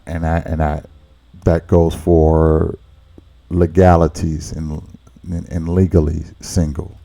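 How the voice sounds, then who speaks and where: Very deep, dark-voiced man commentating. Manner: blunt, conversational, repeats words while thinking. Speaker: reaction channel host. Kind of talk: casual reaction commentary.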